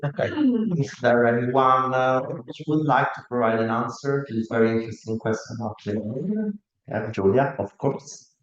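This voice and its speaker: A man's voice: medium pitch, moderate pace. A male moderator with a mid-range voice politely hands a question to the panel. The sound is roomy and a bit muffled, like a livestreamed event.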